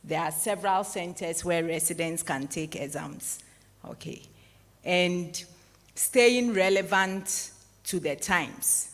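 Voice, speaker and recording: Woman from ghana running the q&a at a lecture, slow and measured, long echo in the hall. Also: on the low side. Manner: measured, formal, appreciative summing up. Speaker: lecture moderator. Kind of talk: public lecture moderation. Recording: echoey hall with long reverb.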